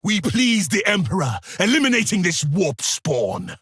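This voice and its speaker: An actor playing a grim, duty-bound space-marine soldier in a video game, giving solemn, clipped battle declarations in a harsh, raspy voice. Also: male voice, high-pitched, huge pitch swings, measured pace, a bit bright, no echo, studio quality, full character voice. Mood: solemn, resolute.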